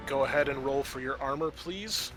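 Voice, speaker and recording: A man acting as game master, narrating and refereeing a horror roleplaying game in a halting way with stretched, dramatic emphasis. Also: mid-range voice. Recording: background music under the voice.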